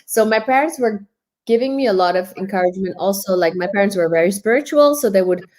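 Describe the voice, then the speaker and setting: A woman's voice: medium pitch, lively intonation, fast talker, a little mellow. A woman raised in the Netherlands, speaking as an interview guest on a video call, earnest and reflective, laughing easily as she tells her story.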